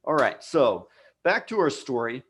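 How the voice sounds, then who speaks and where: A man's voice: mid-range voice, sing-song, unhurried, a bit bright, a little hiss or hum. An American man teaching a live English lesson online, speaking patiently and repeating key words for learners. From United States. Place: home office abroad.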